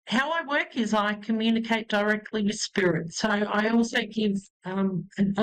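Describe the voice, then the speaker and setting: A woman's voice: a little high, low-quality recording. Chatty woman with a head cold. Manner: rambling, many ums and restarts. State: has a cold, snuffly. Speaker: psychic medium host. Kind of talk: live call-in reading.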